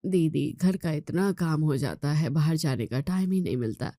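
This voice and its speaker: A tired middle-aged mother in a family tale, voiced by a woman narrator. She sounds low and mellow, soft and placating, forever indulging her child. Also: expressive, moderate pace, no echo, clean studio sound. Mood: weary, defensive.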